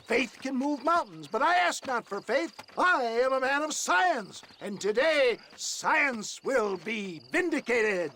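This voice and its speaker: A high-pitched, florid old snake-oil salesman. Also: lively intonation, slow, few pauses, bright, forceful tone, a little hiss or hum, full character voice. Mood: showman's bluster. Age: older. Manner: theatrical, florid, hawker's patter. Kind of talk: video game acting, showman's pitch.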